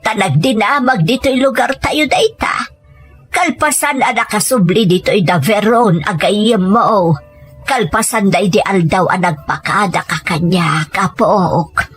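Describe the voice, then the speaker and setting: Woman acting a slow, husky old grandmother. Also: medium pitch, faint music behind. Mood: sorrowful. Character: elderly grandmother gently urging her grandchild. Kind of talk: audio drama acting.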